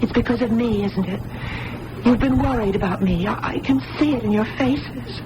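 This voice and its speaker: An actress playing an earnest, trusting young woman in an old-time radio drama, with an expressive, dramatic delivery. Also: a little high, moderate pace, muffled recording, lightly acted. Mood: tender concern. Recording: noisy old radio broadcast.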